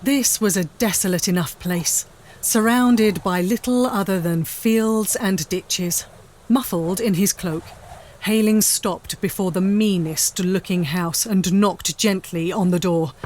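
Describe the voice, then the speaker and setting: A woman giving measured, literary narration for a dramatised story. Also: medium pitch, a little mellow, slight background noise.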